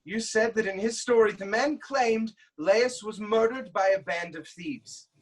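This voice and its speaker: A performer playing a proud, anguished king haunted by prophecy, in a grave, deliberate dramatic reading of Greek tragedy. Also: medium pitch, natural voice, rough recording. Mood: guarded hope.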